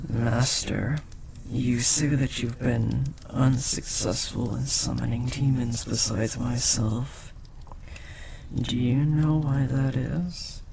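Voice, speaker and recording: A powerful demon, acted by a man, speaks slowly in a gravelly, creaking voice over clearly noisy ambience. He is possessive and devoted, with intense, formal phrasing and dramatic pauses, as in an intimate audio drama. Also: medium pitch. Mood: proud, possessive. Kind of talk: intimate scripted audio roleplay.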